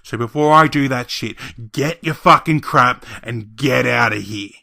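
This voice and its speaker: Audio roleplay part: male actor as lovestruck young scarecrow, volatile and emotional, tense bright voice, dry close sound. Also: medium pitch, measured pace, creaky, no room sound. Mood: exasperated, seething. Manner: emotional, profane, stammering when cowed.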